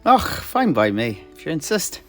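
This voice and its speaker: A man's voice: fairly high pitch, huge pitch swings, moderate pace, faint music behind. Man acting a breezy, swooping alchemist. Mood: unbothered, folksy. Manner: folksy, breezy, dialect grammar. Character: cheerful brash wandering male alchemist, blood-spattered, unbothered. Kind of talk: in-character audio drama dialogue.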